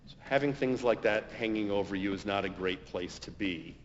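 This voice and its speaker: An American man preaching a homily as a pastor, in measured, deliberate phrases with emphatic stresses and pauses. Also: lowish voice, slight background noise. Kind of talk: sermon, homily. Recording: dull, reverberant hall recording.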